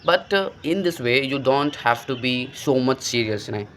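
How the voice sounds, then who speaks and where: A man's voice: medium pitch, expressive, moderate pace, fairly bright tone, slight background noise. A young man with an Indian accent, giving earnest, rambling motivational advice to viewers about learning English and calling them bro. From rural India. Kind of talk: motivational talk to camera.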